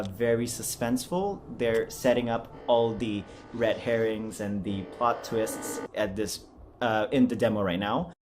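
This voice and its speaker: A man's voice: mid-range voice, a little hiss or hum. A man chatting casually and unscripted as a video host, rambling in an apologetic, self-deprecating way. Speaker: amateur voice actor and video host. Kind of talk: casual unscripted vlog chat.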